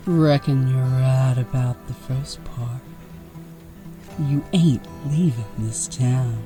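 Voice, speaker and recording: Man voicing a very slow, smug snake outlaw. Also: medium pitch, over music, lightly acted. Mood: taunting. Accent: American Southern drawl, Western style. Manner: slow, taunting, mock-sweet. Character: menacing, smug snake-like Western outlaw villain. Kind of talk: Western audio roleplay acting.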